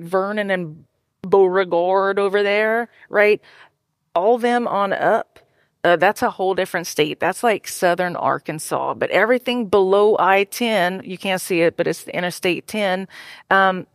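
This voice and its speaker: A woman's voice: fairly low pitch, no echo, clean studio sound. A woman from Louisiana with a Cajun accent, telling stories in a chatty way with many fillers and restarts. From Louisiana, United States. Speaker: guest. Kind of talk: casual storytelling talk.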